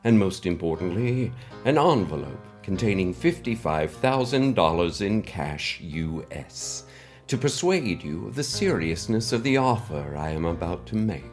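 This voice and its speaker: A man acts out a mysterious, self-important male benefactor in a scripted comedy. The voice is low and swings widely in pitch. He speaks in wordy, theatrical sentences full of dramatic pauses, with music playing underneath. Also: big pitch swings. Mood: grandiose, secretive. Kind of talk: scripted comic monologue.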